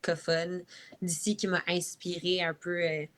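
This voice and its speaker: Female guest singer, Quebec French accent, bright forward voice, casual interview talk. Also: fairly low pitch, flat delivery, unhurried.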